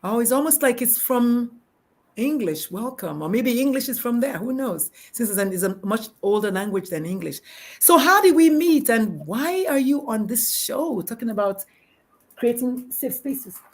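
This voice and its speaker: Woman, Nigerian accent, talk host, excitable, rambling, gushing. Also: a little high, normal speed. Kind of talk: live interview chat.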